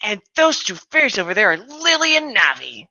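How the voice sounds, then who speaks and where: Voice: mid-range voice, huge pitch swings, fairly quick, bright, forceful tone, no room sound, lo-fi sound, pitched above the performer's own voice, heavily acted. A performer voicing a cautious fantasy hero, drawn out, in a mocking story reading. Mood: guarded. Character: Link, cautious fantasy hero introducing his wife.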